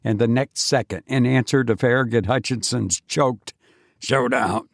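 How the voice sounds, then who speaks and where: Male audiobook narrator, slow deliberate pace, solemn dramatic delivery, medium pitch, dry close sound. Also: vocal fry, no room sound. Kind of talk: dramatic scripted story narration.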